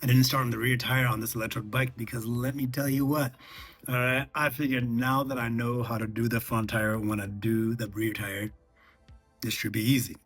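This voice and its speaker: A man vlogging in a casual, conversational way, recounting lessons learned and often checking in with the listener, with a husky voice. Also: medium pitch, level tone, moderate pace, a bit bright. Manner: conversational, checks in with "okay" and "all right". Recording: music behind the voice.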